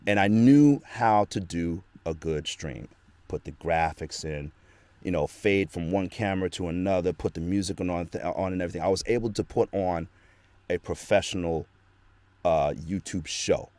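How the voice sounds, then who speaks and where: A man's voice: low-pitched, normal speed, darkish tone, no room sound. A man telling his story in a casual interview, earnest and rambling with lots of fillers, into a home studio microphone. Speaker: guest, actor and voice actor.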